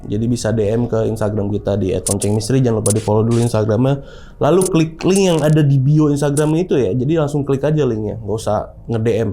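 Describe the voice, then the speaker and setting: Young man, relaxed host, warm mellow voice, slangy casual chat. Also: medium pitch, fast. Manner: relaxed, chatty, uses Jakarta slang. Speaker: podcast host. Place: studio.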